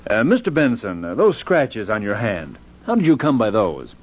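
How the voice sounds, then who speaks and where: A calm, methodical police inspector from an old radio mystery. He speaks in a measured, probing way with thoughtful hesitations. The recording is dull and muffled, like a vintage broadcast. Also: on the low side, husky, exaggerated voice. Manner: measured, hesitant "uh" while questioning.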